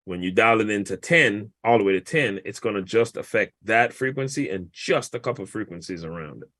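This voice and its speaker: A man, a guest mix engineer, casually walking through a vocal mixing session in a relaxed, explanatory, colloquial way. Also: fairly low pitch, brisk, no room sound.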